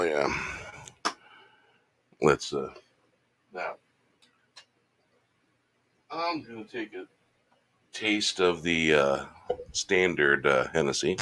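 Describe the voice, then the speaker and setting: Slow-talking man losing his voice. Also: rumbling low, pushed and bright, no room sound. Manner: laid-back, rambling. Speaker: show host. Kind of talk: casual chat while drinking.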